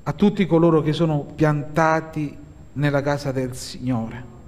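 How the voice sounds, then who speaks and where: A middle-aged man from Italy preaching a sermon from a pulpit as pastor, slow and measured with pauses and hesitant fillers. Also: fairly high pitch, steady tone, darkish tone. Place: church hall, at a pulpit. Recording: long hall reverb.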